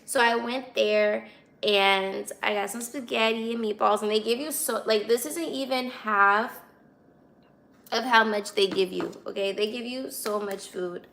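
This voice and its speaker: A woman in her twenties with an American accent and a raspy, slightly hoarse voice, chatting casually to livestream viewers. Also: mid-range voice, unhurried, fairly bright tone. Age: 20s. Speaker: livestream host.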